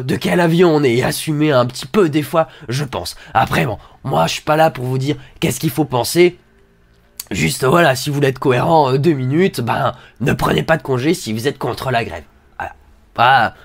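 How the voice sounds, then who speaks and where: Homme enrhumé à la voix grave éraillée. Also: male voice, a little high, creaky. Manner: hesitant, many fillers, mock-naive asides. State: has a cold. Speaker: satirical commentator reading an article aloud. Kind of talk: sarcastic read-along commentary.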